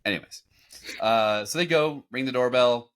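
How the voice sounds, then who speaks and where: A man hosting a podcast, recapping in a casual, halting, conversational way. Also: medium pitch, a bit bright, smooth. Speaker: podcast host. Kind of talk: casual recap commentary on a cartoon. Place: home room, on a group video call.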